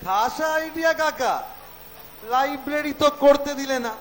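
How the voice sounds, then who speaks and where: Man playing a high-pitched, cheeky young nephew. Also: normal speed. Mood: cheeky, pleading. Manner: glib, teasing. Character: mischievous, cheeky nephew of about twenty-five. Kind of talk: amateur stage audio-drama acting. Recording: echoing school hall stage.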